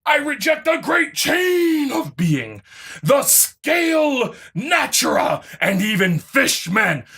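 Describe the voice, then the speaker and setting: A woman's voice: high voice, big pitch swings, slow, vocal fry, fairly bright tone, raised voice, heavily acted. A woman doing a mocking dramatic reading as an indignant, smug online commenter, overwrought and spelling out punctuation. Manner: overwrought, reads punctuation aloud.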